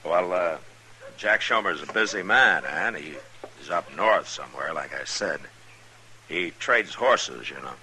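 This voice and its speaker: An actor playing a steady, protective American frontier marshal in an old-time radio western, plain-spoken and firm. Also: male voice, deep voice, a little slow, slight background noise, full character voice. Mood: easygoing, reassuring.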